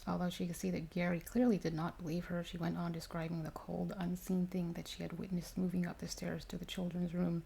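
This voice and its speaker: A woman reading a ghost story aloud in a hushed, measured ASMR style, with occasional stumbles. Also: fairly low pitch, level tone, vocal fry, slight background noise, poor audio. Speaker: story reader.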